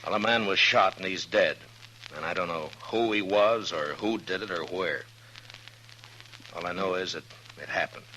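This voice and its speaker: A man playing a plainspoken, steady, weary frontier marshal in a 1950s radio western. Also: sits low, moderate pace, long pauses, a little hiss or hum, rough recording. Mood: casual, probing.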